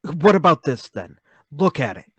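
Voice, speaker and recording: An actor playing a timid, troubled, lonely man confessing to murder in a radio-play table read, halting, pleading and intense. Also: a little high, big pitch swings, breathy edge, no room sound, lo-fi sound. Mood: menacing, insistent.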